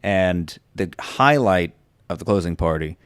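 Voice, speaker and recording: A man hosting a podcast, telling stories in animated casual chat with lots of fillers. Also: low-pitched, measured pace, clear, resonant tone, no echo. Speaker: podcast host.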